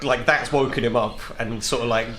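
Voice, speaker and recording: A man playing a tabletop game, casually improvising narration of his character's actions in a halting, chuckly way. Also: medium pitch, steady tone, a bit bright, a little hiss or hum. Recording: roomy, echoing room with others talking.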